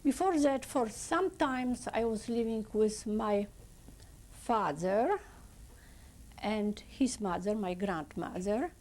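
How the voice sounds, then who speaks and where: A woman in her seventies from Poland with a Polish accent, giving halting, slow oral history testimony in non-native English. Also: on the high side, expressive, darkish tone. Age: 70s. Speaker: interviewee.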